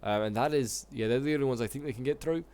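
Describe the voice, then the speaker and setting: A man with a sore throat, slightly hoarse, giving casual, rambling commentary on a strategy game battle with lots of fillers. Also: medium pitch, fast, darkish tone. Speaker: gaming YouTuber casting a multiplayer battle.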